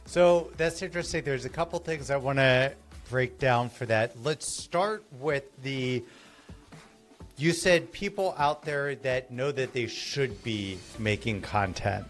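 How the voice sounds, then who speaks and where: A man's voice: mid-range voice, measured pace, soft background music. A man hosting a podcast, asking earnest, loosely phrased questions in a casual interview. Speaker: podcast host.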